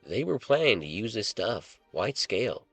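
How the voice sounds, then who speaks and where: Casting: indignant male hacker acted by a storyteller, agitated, lifted above the narration, still gravelly. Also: on the low side, big pitch swings, moderate pace, dry recording, faint music behind, voice pushed up. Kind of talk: story character dialogue.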